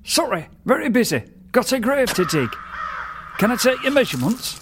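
An actor playing an eccentric, morbid joke-teller in a comic audio drama, with exaggerated delivery. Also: male voice, very high voice, very animated, normal speed, background noise. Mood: gleeful, morbid.

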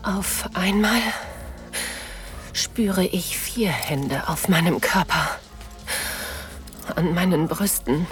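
An actress playing a submissive, aroused woman, narrating intimately in a breathy, whispery voice in an erotic audio drama. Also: lowish voice. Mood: breathless, sensual. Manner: slow, sensual delivery. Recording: music behind the voice.

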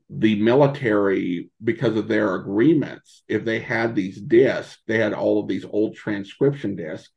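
An American man answering as a podcast guest, conversational and halting as he thinks aloud, on dull lo-fi audio. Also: lowish voice, gravelly, a little mellow. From United States, rural Montana in early childhood. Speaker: podcast guest, old-time radio podcaster.

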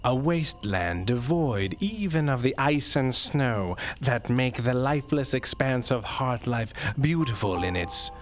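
An actor playing an eccentric, coldly gleeful doctor in an audio drama, with theatrical, clinical, wordy delivery. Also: male voice, medium pitch, animated, unhurried, few pauses, a little hiss or hum, close to an everyday voice. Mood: ominous, brooding. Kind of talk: audio drama acting, storytelling monologue.

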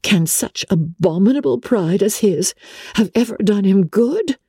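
A woman voicing a spirited, indignant young Regency gentlewoman in a dramatic audiobook reading, with emphatic, incredulous delivery. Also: medium pitch, lively intonation, measured pace, husky, no echo, clean studio sound, pitched above the performer's own voice. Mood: incredulous, curious.

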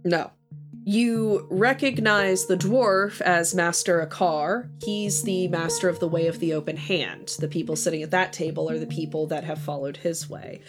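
Woman game master, relaxed narrator with lots of ums and likes. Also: fairly low pitch.

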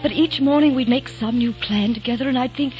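A woman's voice: a little high, a little fast, natural voice. A woman acting a young eighteenth-century English lady in a 1940s radio drama, earnest and pleading. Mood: tender. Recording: dull old radio broadcast with music.

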